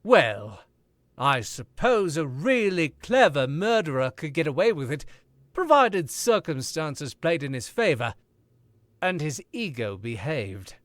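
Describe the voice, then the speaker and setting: Casting: a man as a wry drawling gentleman author, slow unhurried lines, lively melodic delivery, dry booth sound. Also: fairly high pitch, no echo, exaggerated voice. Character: suave sardonic older male author, amused. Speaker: radio play actor. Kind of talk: old-time radio drama recreation.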